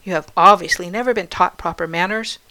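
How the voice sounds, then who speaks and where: A woman's voice: fairly low pitch, a little fast, sharp tone, a little hiss or hum. A stern, menacing matron from a storybook, acted out by a woman narrator. She scolds in clipped, disgusted phrases and gives orders that expect instant obedience. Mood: disgusted, scolding. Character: stern, menacing older woman running a school.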